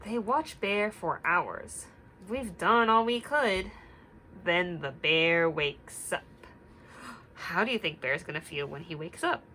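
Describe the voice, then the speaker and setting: A woman reads aloud to young children as their teacher, pausing to ask and explain. Her voice is bright and very expressive, taken at a slow pace, with faint noise behind it. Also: lowish voice. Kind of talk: children's read-aloud with questions to listeners.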